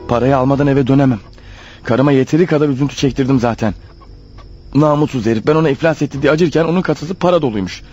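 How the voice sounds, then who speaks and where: A man's voice: mid-range voice, fast, a little mellow, natural voice. Nervous, desperate amateur burglar played by a man, muffled radio drama. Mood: resentful, scheming. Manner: hesitant, muttering to himself, rehearsing and exclaiming. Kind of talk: radio play monologue, thinking aloud. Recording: dull lo-fi radio drama over music.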